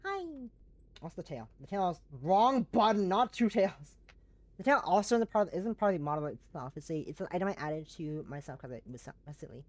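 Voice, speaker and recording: A woman streamer chatting casually with her viewers, her voice hoarse and fading and her nose blocked, talking in bursts with lots of restarts. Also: fairly low pitch, expressive, brisk, no echo. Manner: chatty and warm to chat, frequent restarts. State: hoarse, voice going.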